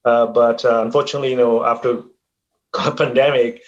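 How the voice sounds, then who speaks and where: A man from Indonesia gives a talk as a guest professor over a video call. He speaks at a middle pitch, hesitant and full of fillers and restarts. The audio sounds dull and lo-fi. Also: flat delivery, fairly quick. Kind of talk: online lecture talk.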